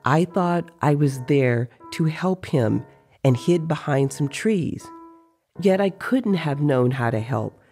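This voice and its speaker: Man acting an aging sorcerer, audio drama storyteller, reflective, mellow, creaky, faint music. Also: a little high, expressive, slow. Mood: reflective, mysterious.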